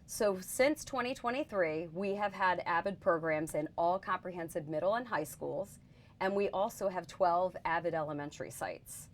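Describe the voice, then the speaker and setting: A woman hosting an interview in a slightly low voice. She introduces guests and asks friendly, prepared questions. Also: normal speed, slight background noise. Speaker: interview host.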